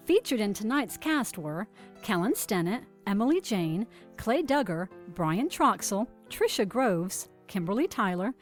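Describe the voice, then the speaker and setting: Lively woman announcer over music. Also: mid-range voice. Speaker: credits announcer. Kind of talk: scripted announcement. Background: theme music.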